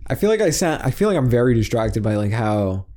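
A man's voice: mid-range voice, very animated, a little fast, mellow. A young man chatting casually on a podcast over a headset mic, rambling with lots of fillers and swearing freely. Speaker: podcast co-host. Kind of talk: casual chat.

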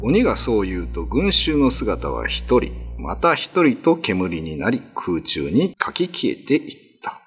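A man's voice: fairly low pitch, wildly swooping pitch, a little slow, few pauses, breathy edge. A man narrating a ghost tale for a radio drama, in a dramatic scripted storytelling style. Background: music.

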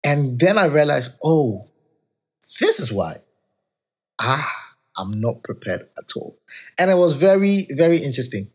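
A man's voice: very animated, unhurried, long pauses, breathy edge. A man hosting a podcast, casually reflecting on faith in a rambling, self-correcting way. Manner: talks of his high-pitched voice. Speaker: podcast host.

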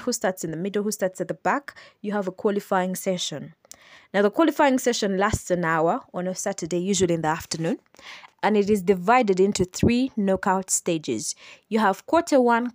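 A young adult woman with a Ugandan accent, hosting a solo podcast and explaining things in an unhurried way with some hesitant fillers. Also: medium pitch, animated, a little mellow, dry recording, studio quality. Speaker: podcast host.

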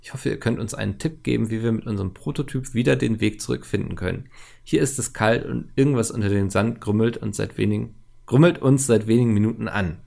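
Gravelly-voiced male podcast co-host. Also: fairly low pitch, fast talker, warm tone. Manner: reads listener letters aloud, jokey, laughs a lot. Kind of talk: casual podcast chat, reading listener mail.

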